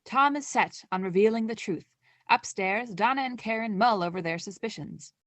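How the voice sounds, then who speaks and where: A woman's voice: medium pitch, moderate pace, fairly bright tone, no room sound, lightly acted. A young woman playing the wry narrator of a scripted student radio play. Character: wry storyteller commenting on the characters.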